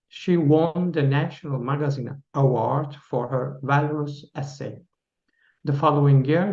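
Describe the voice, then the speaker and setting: Gravelly guy hosting an interview, halting and formal, echoey muffled room sound. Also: a little high, darkish tone. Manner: halting, with restarts and fillers. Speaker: interview host. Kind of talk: formal reading of a guest introduction and questions. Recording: roomy, dull lo-fi sound.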